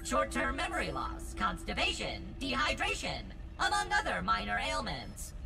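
Video game robot helper: heavily fried, animated delivery, stiffly formal, wordy, eccentric, glitchy stutter. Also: female voice, mid-range voice, moderate pace, gravelly, heavily acted. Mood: matter-of-fact. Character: chirpy, wordy, eccentric robot lab assistant. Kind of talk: scripted video game dialogue. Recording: loud music behind. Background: music.

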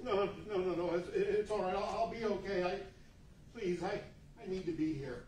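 An anxious, troubled radio actor, played by a man speaks haltingly in a stage drama. His voice is high. It is picked up from far off with echo and faint noise. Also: a little mellow, natural voice. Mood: shaken, pleading. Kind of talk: stage play set in 1940s radio studio. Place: large indoor meeting room with seated audience. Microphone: far camera.

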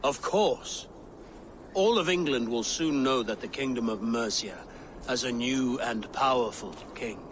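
A man's voice: mid-range voice, a little slow, close to an everyday voice. An actor playing a cautious, newly crowned middle-aged king in a video game, speaking formally. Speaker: game character. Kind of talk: game dialogue acting. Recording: game audio with noisy ambience.

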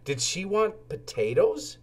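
An earnest young boy cat in a children's storybook, played by a man. He is polite and eager to help, speaking slowly with a lifted, lively voice. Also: high voice, pitched above the performer's own voice, full character voice. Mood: unsure, wondering.

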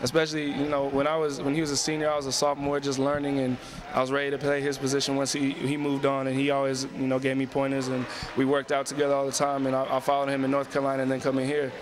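A man's voice: medium pitch, monotone, fast. A young man, a college basketball player, answering post-game interview questions politely with lots of "you know" fillers, on a handheld mic in an arena concourse. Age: young adult. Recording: crowd noise behind.

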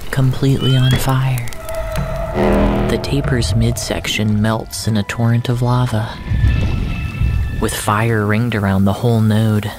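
A narrator of medium pitch reads dramatic first-person fiction. The delivery is very slow and deliberate, with room between phrases. Loud music plays all through under the voice. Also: steady tone. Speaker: narrator of a surreal fiction story.

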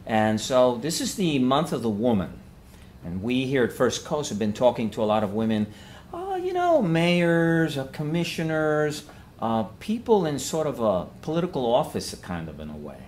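A man's voice: medium pitch, sing-song, moderate pace, a little mellow, a little hiss or hum. A man hosting a conversational local interview as the interviewer. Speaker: host, interviewer. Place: inside a cafe theater.

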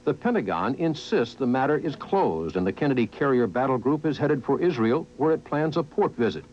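A man's voice: mid-range voice, sing-song, a little fast. Male American news correspondent, scripted narration, muffled noisy recording. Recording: muffled old videotape, clear background noise.

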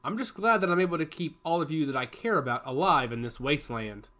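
A man's voice: on the high side, lively intonation, fast, pushed and bright. A man voicing a thoughtful young woman scout with cybernetic eyes in a read-aloud story. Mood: earnest.

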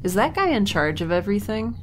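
A woman's voice: mid-range voice, sing-song, a little fast, over music, natural voice. An actress playing a blunt young woman scientist, held captive, who speaks in a dry, sarcastic way.

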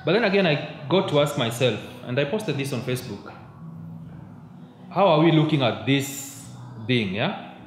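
A man's voice: medium pitch, expressive, moderate pace. Young kenyan guy preaching into a handheld mic, chatty, keeps asking "yeah?", roomy church sound with a faint hum. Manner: mixes in Swahili, keeps checking in with "yeah?". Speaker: youth preacher. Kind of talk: conversational sermon. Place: church hall, at a pulpit. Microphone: handheld mic held close. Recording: echoey church room, faint background hum.

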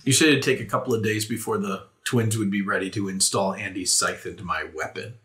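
Male tabletop player, bright forceful voice on the low side, speaking for his character. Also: fairly even intonation, brisk. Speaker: player in a tabletop game podcast.

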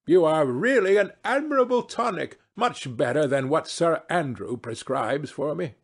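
A man voicing a witty, imperious old aristocratic hostess in a novel reading, effusive, drawn-out and theatrical. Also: on the high side, animated, slightly rough, voice pushed up. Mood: delighted, gushing. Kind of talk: dramatised classic novel reading.

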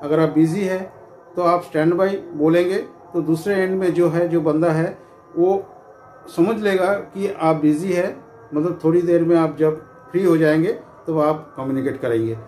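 Male instructor, middle-aged, fairly high voice with a soft-edged tone, talking viewers through points repetitively. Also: moderate pace. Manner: repetitive, explanatory, with frequent filler phrases. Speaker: presenter of security training videos. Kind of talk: informal instructional talk to camera. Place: small indoor room with curtains. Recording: echoey room with faint background noise.

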